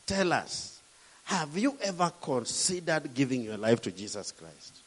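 A man's voice: mid-range voice, very animated, unhurried. A middle-aged man from Kenya with a Kenyan accent, preaching a sermon with emphatic, rising and falling delivery.

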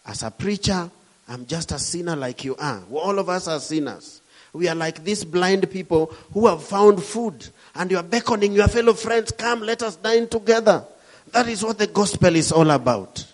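A man's voice: sits high, lively intonation, moderate pace. A middle-aged man from Kenya with a Kenyan accent, preaching a sermon with emphatic, rising and falling delivery.

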